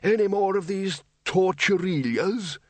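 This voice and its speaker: A man's voice: high-pitched, measured pace, a little mellow, dry recording, pitched above the performer's own voice. A man voicing a very old wizard in an audiobook, cheerfully grumpy and muttering, with hesitant ums. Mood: grumbling. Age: older. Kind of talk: audiobook character voice.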